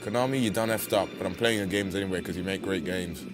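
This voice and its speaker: A man's voice: low-pitched, quick pace, loud music behind the voice. A young man hosting a video game playthrough with casual, rambling commentary, playful slang and mild swearing. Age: young adult. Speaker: let's play host.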